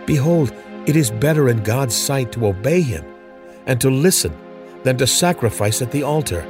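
A man's voice: mid-range voice, expressive, normal speed, over music, voice pushed up, close to an everyday voice. A man voicing a stern, unwavering old prophet who rebukes a king with firm, solemn pronouncements. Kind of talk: storybook drama reading.

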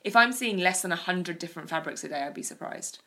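A woman's voice: lowish voice, animated, quick pace, pushed and bright. A woman co-hosting a casual chat, talking with eager emphasis about design. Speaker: podcast co-host.

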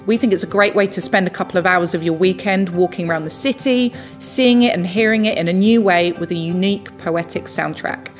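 Lady co-host reading announcements, even and polished, faint music in the back. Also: medium pitch. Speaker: podcast co-host. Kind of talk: scripted announcements.

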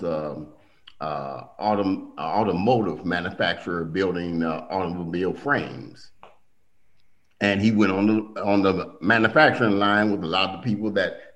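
A middle-aged man recounting family history in relaxed conversation. His voice sits low. He livens up and jumps in pitch whenever he acts out what someone said. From Milwaukee. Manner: animated storyteller, acts out quotes with big pitch jumps. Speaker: guest telling family stories. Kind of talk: casual storytelling conversation.

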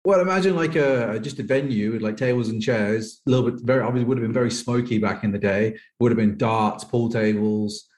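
Fast-talking man from the UK, thirties. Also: medium pitch, steady tone, a little mellow. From United Kingdom. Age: 30s. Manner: rambling, heavy use of "like". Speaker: professional poker player guest. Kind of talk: casual interview chat. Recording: echoey room.

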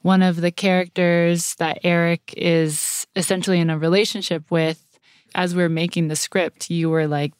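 A woman's voice: on the low side, level tone, normal speed, clear, resonant tone, no echo, clean studio sound. An American woman co-hosting a podcast in a conversational style. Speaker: podcast co-host.

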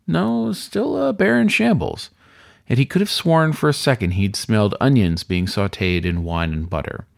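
A man's voice: mid-range voice, lively intonation, moderate pace, creaky. A man reading a spooky story as narrator, in scripted, dramatic storytelling style.

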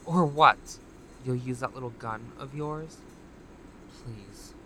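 An actress playing a calmly manipulative female recruiter in an audio drama, with a measured, condescending delivery. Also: a bass voice, background noise. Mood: mocking.

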